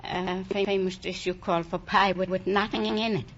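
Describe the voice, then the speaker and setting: A woman's voice: mid-range voice, steady tone, normal speed. Hesitant woman in her sixties. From Denmark. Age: 60s. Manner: hesitant, filler-heavy, giggly answers. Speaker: quiz contestant, cook. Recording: dull old radio broadcast with faint hiss.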